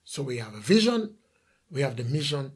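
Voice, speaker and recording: A man's voice: mid-range voice, big pitch swings, normal speed, slightly rough. A man from The Gambia preaching a sermon as a pastor, emphatic, asking rhetorical questions and repeating lists of phrases.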